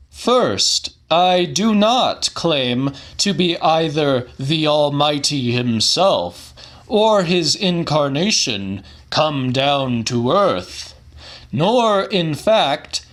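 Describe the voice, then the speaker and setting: A man playing a pompous, grandiose scientist-showman lecturing a theater audience in a formal, theatrical, deliberate way, as in a dramatic audiobook. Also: fairly high pitch, fairly bright tone, exaggerated voice. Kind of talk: dramatic audiobook reading of a monologue.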